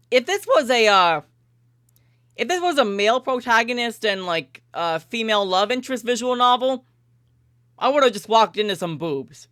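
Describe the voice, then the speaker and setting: A playful, slangy, expressive woman livestreaming, moving between casual chat and narrating a visual novel. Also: fairly low pitch, dry recording.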